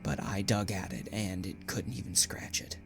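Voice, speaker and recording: A condemned poet, intense, over music. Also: male voice, sits low, animated, normal speed. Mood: grim recounting. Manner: intense, pleading, stammering when distressed. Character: desperate condemned male poet in a dystopian future. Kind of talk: dramatic audio drama acting with first-person narration.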